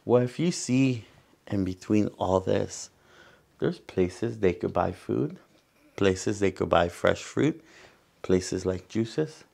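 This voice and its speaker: A man with a Salvadoran accent hosts a children's storytime. His voice sits a little low and has a strong creaky, gravelly edge. He reads at an unhurried pace and stops to explain things to young listeners. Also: animated, a little mellow. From San Salvador, El Salvador. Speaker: storytime host. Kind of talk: bilingual read-aloud of a children's picture book. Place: indoor room in front of wooden backdrop.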